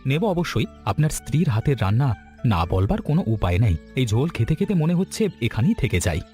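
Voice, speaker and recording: A man voicing an upright, firm but grateful government project director in a cartoon story, speaking in a formal, measured way. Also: mid-range voice, big pitch swings, a little mellow, soft background music, close to an everyday voice. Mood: grateful, friendly. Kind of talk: Bengali cartoon story voice acting.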